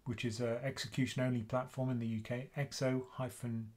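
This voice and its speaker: A man in his fifties with a British accent, hosting a live investing Q&A stream from a small home room, chatting casually in a quiet voice. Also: medium pitch, level tone, a little fast. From United Kingdom. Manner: casual, chatty, soft-spoken. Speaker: investing channel host.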